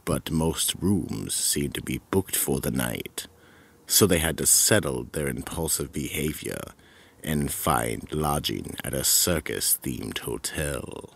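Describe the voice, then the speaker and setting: A man playing an ominous, deliberate narrator of a radio mystery drama, with a gravelly, husky voice. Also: extremely low pitch, lively intonation, a bit bright, full character voice. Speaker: narrator and host.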